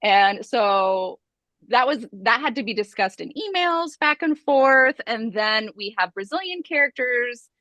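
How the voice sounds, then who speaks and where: A woman's voice: a little high, lively intonation, unhurried, bright, forceful tone, dry recording. A woman with an American accent, an author explaining at length in a casual video-call chat.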